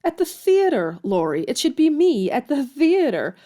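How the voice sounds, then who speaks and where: A woman's voice: high voice, big pitch swings, moderate pace, warm tone. A woman playing a restless, blunt, envious young sister in a period audio drama. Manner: blunt, sardonic.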